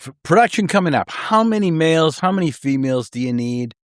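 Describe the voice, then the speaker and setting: An American man interviews a guest in a loose, bantering way. His voice sits a little high and swings widely in pitch as he throws out slangy exclamations. The studio sound is dry and close. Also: lively intonation, brisk, clear, resonant tone, no room sound. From Boston, USA. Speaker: radio interviewer and host. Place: radio studio.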